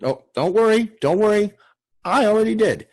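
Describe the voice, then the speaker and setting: A man's voice: sits high, lively intonation, moderate pace, darkish tone, voice pushed up. A man acting a chatty, insistent small-town helper in a TV drama read, stammering and repeating himself. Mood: reassuring, eager.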